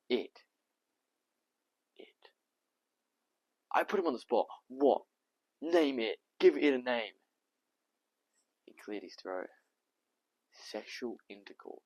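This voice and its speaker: A man playing a bitter, frank, sick young boy in an emphatic, halting stage monologue. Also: fairly low pitch, breathy edge, exaggerated voice. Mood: uneasy, mocking, then defiant, challenging. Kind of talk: dramatic stage audition monologue. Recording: dull, roomy echoing sound.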